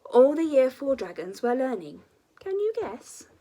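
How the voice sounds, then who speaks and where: Very animated, slow, high-voiced woman storyteller. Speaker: storyteller reading a children's book. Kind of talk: expressive scripted story reading.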